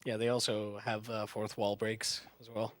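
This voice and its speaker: A man's voice: lowish voice, level tone, moderate pace. A man chatting casually as co-commentator during a live speedrun at a gaming marathon. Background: game music.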